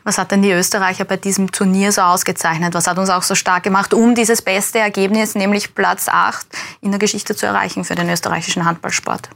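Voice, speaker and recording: A woman's voice: medium pitch, little pitch movement, fairly quick, gravelly, hardly any pauses, fairly bright tone. A woman conducting a broadcast news interview in a fluent, professional manner. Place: TV studio.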